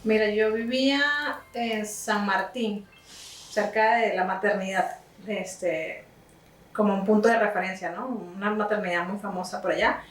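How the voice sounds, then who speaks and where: A Venezuelan woman in her thirties, a music teacher telling her story in an unhurried way, with frequent "este" fillers. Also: medium pitch, steady tone. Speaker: guest, violist and music teacher. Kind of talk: relaxed conversational storytelling. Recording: roomy echo, distant mic, faint music.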